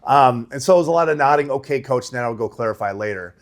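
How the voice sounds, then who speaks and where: A middle-aged man with a big voice, a rowing coach answering interview questions in a relaxed, conversational way. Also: mid-range voice, rapid-fire. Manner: conversational, laughs easily, says right? and you know.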